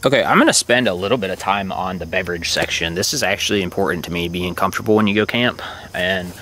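Young man, low-ish voice, relaxed rambling vlog delivery, faint outdoor hiss. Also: fast, poor audio. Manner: relaxed and rambling, with many fillers. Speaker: motorcycle vlogger. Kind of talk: casual show-and-tell narration. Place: outdoors at a campsite.

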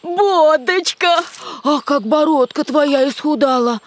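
A woman's voice: high-pitched, slow pace, a little hiss or hum. A woman voicing a doting, fussing monster mother in a children's cartoon, cooing with pet names.

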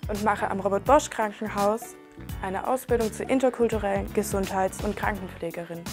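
A young woman, a nursing trainee, talking in a relaxed, matter-of-fact way. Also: mid-range voice, normal speed, music in the background.

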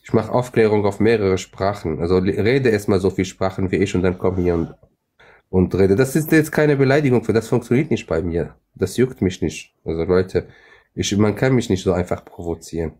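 A man's voice: low-pitched, moderate pace, dark tone. A middle-aged man whose first language is Arabic, hosting a live stream and talking in an assertive, self-assured way that often repeats itself. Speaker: livestream host. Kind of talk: live stream debate chat.

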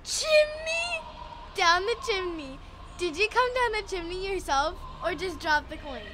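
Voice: extremely high pitch, sing-song, unhurried, noisy background, exaggerated voice. A performer playing a curious, innocent, literal-minded little boy in a family audio drama, asking eager, naive questions.

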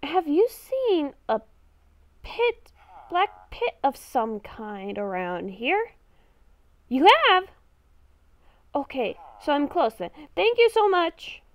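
A woman's voice: high-pitched, no echo, highs cut off. A woman improvising in-character game roleplay, talking to herself in murmurs and exclamations. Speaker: gaming video creator playing a roleplay character.

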